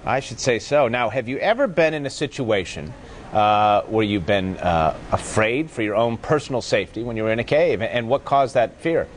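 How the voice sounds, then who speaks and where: A man's voice: medium pitch, lively intonation, moderate pace, a little hiss or hum. A man working as a news anchor, narrating reports and interviewing guests in a broadcast style.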